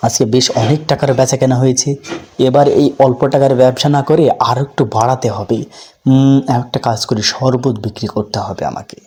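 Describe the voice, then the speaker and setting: A man's voice: mid-range voice, fairly even intonation, fairly quick, dark tone, soft background music, natural voice. A man voicing an earnest, hopeful poor street vendor who thinks aloud in a folk tale cartoon. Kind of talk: cartoon voice acting.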